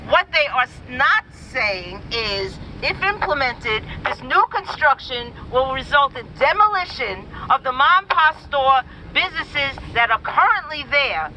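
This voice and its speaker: A woman's voice: high voice, bright, forceful tone, a little hiss or hum, low-quality recording. A woman speaking at an outdoor protest rally, reading a prepared statement for her community coalition in a firm, declarative way. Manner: firm, declarative, builds lists to a rallying call.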